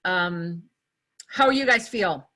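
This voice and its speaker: A woman's voice: fairly low pitch, expressive, takes it slowly, bright, forceful tone. A woman hosting a table read, talking casually and effusively to a cast. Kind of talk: casual congratulatory talk to cast.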